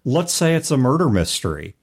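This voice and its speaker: A man co-hosting a casual discussion about tabletop gaming, explaining things in a measured way. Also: medium pitch, big pitch swings, husky. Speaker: podcast co-host and game master.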